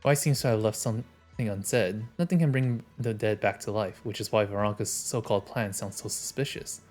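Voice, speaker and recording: Male game streamer, slightly deep voice, reading on-screen story aloud, soft music behind. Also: a little fast.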